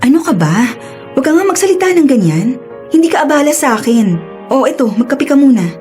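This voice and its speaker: A woman's voice: high-pitched, sing-song, brisk, darkish tone, soft background music. An actress playing a protective, caring older sister, a married working woman, in a radio drama. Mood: soothing.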